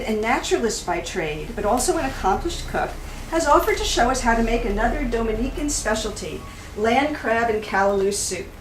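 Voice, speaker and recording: A middle-aged woman giving an expressive live reading of a travel memoir, telling it with wry humor and emphatic pauses. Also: a little high. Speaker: author reading from their book. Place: indoors in a store at a live event. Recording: roomy, distant mic with faint background noise.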